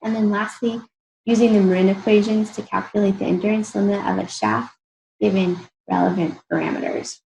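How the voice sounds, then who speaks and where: Tired, croaky woman lecturing. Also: mid-range voice, brisk, slight background noise, low-quality recording. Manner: explains step by step in a relaxed, informal way. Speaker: engineering instructor. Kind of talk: recorded lecture over slides.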